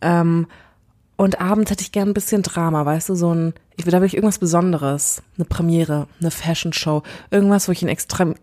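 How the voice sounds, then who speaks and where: A woman's voice: fairly low pitch, steady tone, fairly quick, warm tone. A young woman co-hosting a podcast in casual chat, teasing and laughing often. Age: young adult. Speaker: podcast co-host.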